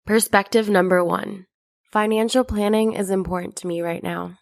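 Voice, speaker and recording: A woman host reading scripted narration in a clear, measured announcing manner. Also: medium pitch, steady tone, no room sound, studio quality.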